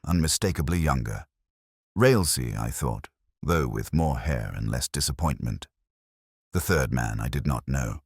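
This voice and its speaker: A man with an extremely deep voice reads first-person detective fiction in a reflective, literary way. His intonation moves widely while the delivery stays measured. The sound is dry and close, with no room around it. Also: breathy edge, no echo. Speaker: narrator. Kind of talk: scripted first-person detective audio drama narration.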